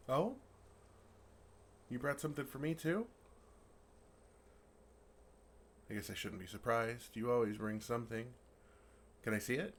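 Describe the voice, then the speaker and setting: A man's voice: fairly low pitch, gravelly, a little hiss or hum. A man playing a friendly, naive male dragon who talks earnestly and playfully to a human in an ASMR roleplay. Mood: puzzled, chatty. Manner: earnest, playful, puzzled, soft chuckles. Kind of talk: ASMR roleplay, intimate one-sided dialogue.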